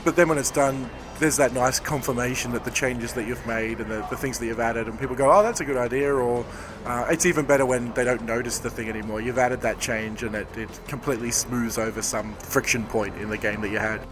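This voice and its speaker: A man, a game developer, hoarse from talking all day, answering interview questions in a rambling, thoughtful way. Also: mid-range voice, fairly even intonation, a little fast, background noise. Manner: rambling, self-correcting, thoughtful. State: hoarse, losing his voice. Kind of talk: convention floor interview. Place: busy convention floor.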